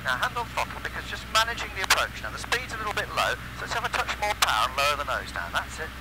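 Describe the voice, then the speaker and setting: A British man instructing a student pilot in a calm, encouraging, step-by-step way through a headset in a light aircraft. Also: fairly high pitch, rapid-fire. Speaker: flight instructor. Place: small light aircraft cockpit in flight. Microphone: aviation headset. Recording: loud engine noise over aircraft intercom. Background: constant loud aircraft engine drone.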